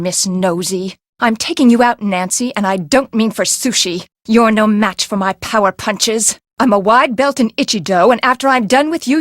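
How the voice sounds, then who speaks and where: A woman acts out a haughty, hot-tempered eccentric for a video game. The voice is fairly high and melodramatic, with a theatrical edge, in a dry, close recording. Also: no room sound, lightly acted.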